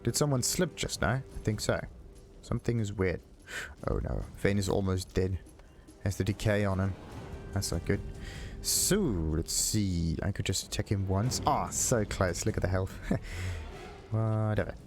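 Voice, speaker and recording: Casting: male gaming host, lowish voice, casual muttered commentary over clear background game music. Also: measured pace. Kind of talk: casual let's play commentary, thinking aloud. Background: video game music.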